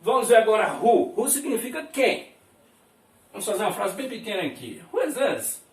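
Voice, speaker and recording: A man's voice: high voice, very animated, moderate pace. A male teacher from Brazil giving a classroom lesson, repeating each phrase and checking in with his students often. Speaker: English teacher. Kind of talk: classroom lesson explaining words. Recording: echoey room, mic at a distance.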